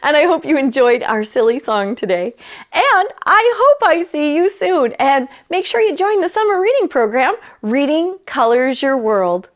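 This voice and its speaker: High-voiced woman hosting a children's storytime. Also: normal speed. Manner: animated, addresses young children directly with questions. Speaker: children's librarian leading a storytime. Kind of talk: children's storytime read-aloud and chat.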